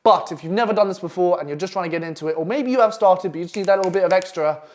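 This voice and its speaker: Brash, fast-talking Essex man. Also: sits high, a little mellow. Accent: Essex English. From England. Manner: brash, profane, pushy. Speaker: copywriting coach. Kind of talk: online masterclass presentation. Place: London flat.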